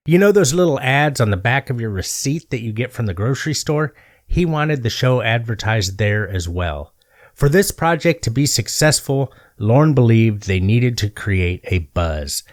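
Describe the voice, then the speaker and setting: A man narrates a scripted comic story in a mid-range voice. His pitch moves around a great deal, landing emphatically on key phrases. He keeps a deliberate, mock-earnest storyteller's delivery. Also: no room sound, studio quality.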